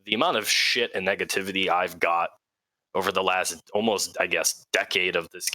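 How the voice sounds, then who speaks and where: A man's voice: low voice, steady tone, normal speed, noisy background. A man in his twenties, a guest talking casually and reflectively, with lots of filler words. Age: 20s. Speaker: guest fleet commander. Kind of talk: casual interview talk.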